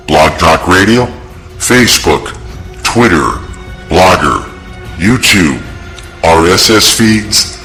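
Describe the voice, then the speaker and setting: Man putting on a deep, sinister ghost host. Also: pushed and bright, exaggerated voice. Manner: slow, theatrical, sinister. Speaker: radio show host. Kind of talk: scripted horror-radio introduction.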